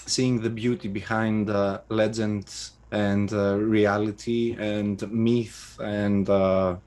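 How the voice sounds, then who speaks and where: A man from Greece with a Greek accent, a guest in an interview, speaking English thoughtfully with many pauses. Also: lowish voice, little pitch movement, a little mellow. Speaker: guest, adventure writer. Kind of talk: casual interview answers.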